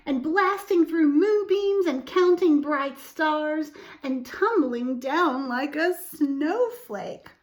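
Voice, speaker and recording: Lady doing kids storytime, high voice, slow n really singsongy, chats to the little listeners. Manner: expressive, asks the listener questions, explains simply. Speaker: storytime reader for young children. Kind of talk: read-aloud picture book storytelling with explanatory asides.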